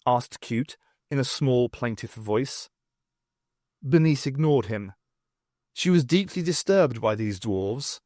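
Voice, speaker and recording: Man narrating with lively, swooping pitch. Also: slow, long pauses, dry recording, studio quality. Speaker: audiobook narrator. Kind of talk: scripted dramatic narration of fiction.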